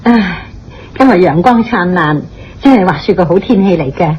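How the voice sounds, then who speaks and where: A woman's voice: fairly low pitch, animated, moderate pace, darkish tone, lightly acted. A woman with a Hong Kong Cantonese accent playing a hospitable, chatty innkeeper in a radio drama. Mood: cheerful, friendly. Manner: friendly, chatty, polite. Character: hospitable, cheerful Japanese inn hostess. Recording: dull radio recording with faint music.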